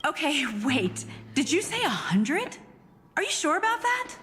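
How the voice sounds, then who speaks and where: Game shop server: high, lively intonation, obliging and polite. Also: female voice, measured pace, exaggerated voice. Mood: taken aback. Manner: customer-service politeness. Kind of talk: scripted video game dialogue. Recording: reverberant game audio with music.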